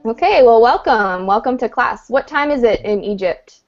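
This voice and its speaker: A woman teaching a beginner English class online, speaking patiently and clearly with an encouraging manner. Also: on the high side, animated, moderate pace. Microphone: video call. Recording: dull lo-fi video call audio.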